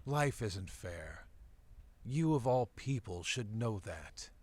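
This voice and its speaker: Man playing a grave, blunt professor, varied pitch. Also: expressive, slow pace, husky, heavily acted. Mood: grim. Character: grave, secretive male professor and vampire hunter. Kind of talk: visual novel character reading.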